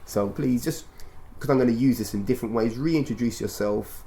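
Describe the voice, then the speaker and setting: A man hosting a podcast interview in a measured, instructive way, rephrasing his points and prompting his guest as he talks. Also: medium pitch, dark tone, slight background noise. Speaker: radio show and podcast host. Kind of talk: conversational interview, explaining and prompting.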